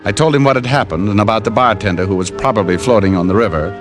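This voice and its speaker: An American man acting a wry, tough private detective in an old radio drama, narrating and talking in a deadpan way. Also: fairly low pitch, fast talker, over music, lightly acted. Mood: tense narration. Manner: wisecracking, deadpan. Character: wry, tough male private detective, cool under threat. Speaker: lead actor and narrator. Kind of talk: 1940s-50s radio detective acting and first-person narration.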